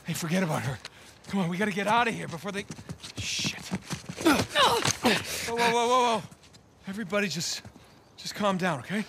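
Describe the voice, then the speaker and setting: An actor playing an earnest, anxious American adventurer in a video game, speaking in an urgent, imploring way. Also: male voice, high-pitched, fast talker, gravelly, noisy background. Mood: alarmed. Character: earnest, anxious male adventurer pleading and bargaining. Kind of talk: video game cutscene acting.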